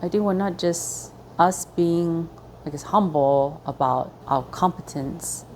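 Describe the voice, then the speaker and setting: A woman with a notably deep, low-pitched voice answers interview questions. She speaks reflectively and often pauses to search for her words. Faint ambient noise sits quietly under her voice. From Singapore. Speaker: interview guest.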